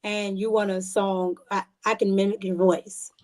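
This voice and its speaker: A woman's voice: mid-range voice, level tone, moderate pace, a little mellow. A woman artist and songwriter chatting casually as a guest in an interview. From Cuban background.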